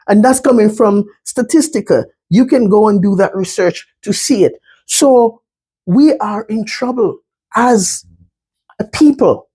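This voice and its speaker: A man's voice: high-pitched, mellow, husky. A man with a Jamaican accent giving an earnest slide presentation on mental health, often checking in with "right?".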